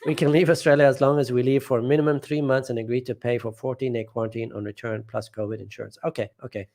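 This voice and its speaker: A man's voice: mid-range voice, fairly even intonation, quick pace, a little mellow. A man hosting a casual live stream from home, reading viewer comments aloud and rambling, often trailing off and restarting.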